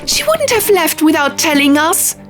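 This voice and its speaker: Woman acting young sisterly diviner, indignant, urgent, arguing, raised pitch, fantasy audiobook dialogue, music behind. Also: sing-song, normal speed. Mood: indignant, shouting. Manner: heated, arguing.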